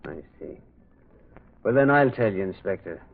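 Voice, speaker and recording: Gentle priest detective, husky, animated, shrewd, probing, repetitive, radio drama. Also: male voice, sits low, normal speed, full character voice. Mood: insistent, revealing. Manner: musing, insistent, repeats himself. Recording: lo-fi, narrow-band old radio sound.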